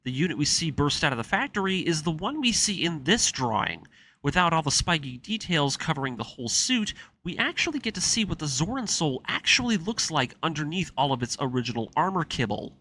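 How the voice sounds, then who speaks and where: Male video essayist, slightly high register, very lively intonation, informal and dramatic fan enthusiasm. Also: a little fast. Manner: excitable fan, informal with casual swearing. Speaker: video creator and narrator. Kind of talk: enthusiastic video essay commentary on anime story. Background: music under the voice.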